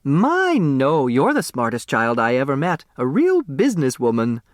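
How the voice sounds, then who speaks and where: A man voicing a gentle, doting woman of sixty, fond and flattering, in an audiobook story. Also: medium pitch, moderate pace, no room sound, clean studio sound. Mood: fond, admiring. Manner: fond, flattering, soft-hearted.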